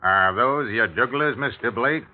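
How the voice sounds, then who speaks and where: A man's voice: medium pitch, measured pace, a little hiss or hum, close to an everyday voice. A man acting a skeptical, matter-of-fact Victorian police officer in an old radio drama. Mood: dry, skeptical. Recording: tinny vintage radio recording.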